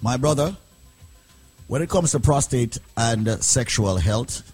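A male radio host taking calls, with quick salesman patter and short interjections. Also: medium pitch, animated, darkish tone, breathy edge, faint music behind. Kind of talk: call-in radio talk.

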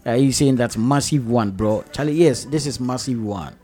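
A man with a Ghanaian accent hosting a radio interview, giving animated advice and often repeating himself. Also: medium pitch, moderate pace, soft background music. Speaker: radio host. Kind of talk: casual interview chat.

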